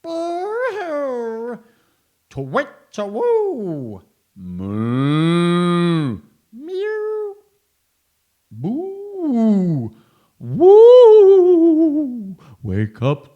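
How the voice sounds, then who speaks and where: A man putting on a high wailing ghost. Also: dark tone, heavily acted. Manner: drawn-out wailing chants. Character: spooky male ghost, wailing, trying to wake a girl.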